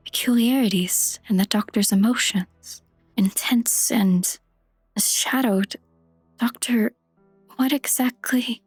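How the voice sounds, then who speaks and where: A woman playing a gentle, concerned character in a fantasy game fan dub, tentative and searching, with a breathy, hushed voice. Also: on the high side, no room sound. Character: gentle, concerned woman sensing another's emotions. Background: background music.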